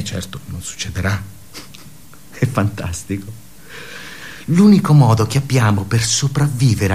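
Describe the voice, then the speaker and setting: A sardonic, brooding office worker with a lowish voice. He delivers a theatrical radio monologue, weighing his words with pauses and bitter emphasis. There is some faint noise and ambience behind him. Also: gravelly, a little mellow, heavily acted.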